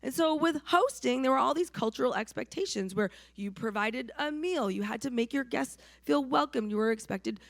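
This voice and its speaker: A woman from New Hampshire preaching with a hoarse, slightly raised voice. She talks conversationally and keeps checking in with her listeners. The sound is close and dry, with no room around it. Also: high voice, expressive, moderate pace, hardly any pauses, no echo. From New Hampshire, USA. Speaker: pastor. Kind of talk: sermon.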